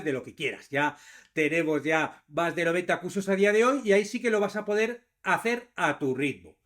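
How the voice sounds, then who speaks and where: A man's voice: high voice, a bit bright. A man with an accent from Spain presenting a podcast, addressing listeners directly and informally. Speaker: podcast host. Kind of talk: podcast presentation with course promotion.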